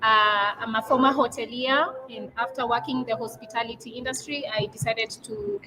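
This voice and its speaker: A woman's voice: on the high side, lively intonation, moderate pace. A woman casually introducing herself as co-host of a live online show. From Kenya. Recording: noisy, background music and ambience. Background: ambient noise, music.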